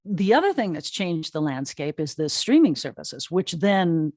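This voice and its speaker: A woman's voice: sits low, big pitch swings, moderate pace, darkish tone, no echo, studio quality. A woman giving conversational interview answers in an enthusiastic, emphatic and informal way. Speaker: interview guest, audio drama streaming co-founder.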